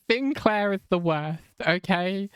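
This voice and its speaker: A woman's voice: mid-range voice, clear, resonant tone, no room sound. A woman with a mixed southern and northern English accent, chatting casually while streaming a game and swearing now and then. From England. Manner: chatty, swears casually, reads chat aloud.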